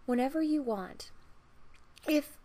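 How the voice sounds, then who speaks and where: A woman's voice: high-pitched, takes it slowly, warm tone, voice pushed up. A woman voicing a vain, emotional young princess in a fairy tale, emphatic and haughty. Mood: eager promising. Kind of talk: fairy-tale storybook reading.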